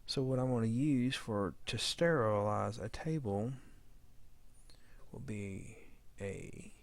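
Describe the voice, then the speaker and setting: Low-voiced man thinking aloud. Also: slow pace. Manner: thinking aloud, muttering to himself while working. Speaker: audio drama editor giving a tutorial. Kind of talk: informal screen-demo narration.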